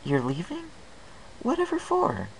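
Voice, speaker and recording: A man's voice: high-pitched, wildly swooping pitch, measured pace, gravelly, voice pushed up, full character voice, poor audio. A man voicing a concerned, hesitant young female pony ticket seller in an amateur story reading. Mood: surprised. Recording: hissy amateur home recording.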